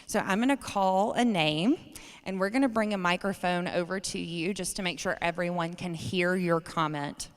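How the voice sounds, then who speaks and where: A woman hosting a public town hall from a podium microphone, speaking in a measured, courteous way with frequent fillers. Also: on the low side. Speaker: state education official hosting a town hall. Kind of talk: public meeting moderation. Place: large meeting room with a podium. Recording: long hall reverb.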